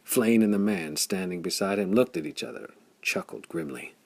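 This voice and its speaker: A man narrates a pulp adventure tale in a deep voice full of gravelly creak. His tone is husky, and he tells the story with dramatic intensity. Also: lively intonation, moderate pace, a little mellow. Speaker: audiobook narrator.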